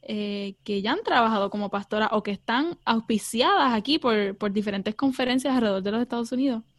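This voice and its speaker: A woman's voice: on the high side, a little fast, no echo, studio quality. A young Puerto Rican woman, a theology student, answering interview questions earnestly with many fillers and some English words. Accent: Puerto Rican Spanish. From Puerto Rico.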